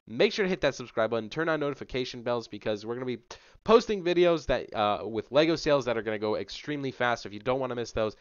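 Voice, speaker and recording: A man's voice: medium pitch, expressive, fast talker, clean tone, dry recording, muffled recording. A young man hosting a casual rundown to camera, chatty and enthusiastic, a little sick, talking into a headset mic in a small home room. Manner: chatty, filler-heavy, enthusiastic. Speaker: channel host.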